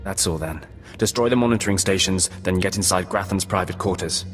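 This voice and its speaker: A man acting a gruff undercover military operative from a sci-fi game. He speaks in a deep voice, curt and condescending, with needling sarcasm, over clearly audible music. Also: fairly quick, close to an everyday voice. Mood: dismissive.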